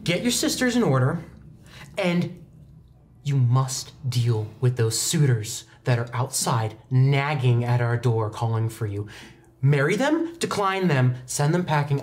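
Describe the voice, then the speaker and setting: A man's voice: mid-range voice, sing-song, a little slow. A man voicing a stern, disgruntled mother in a tabletop game, scolding in an exasperated, clipped way. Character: stern, disgruntled mother of a village noble house.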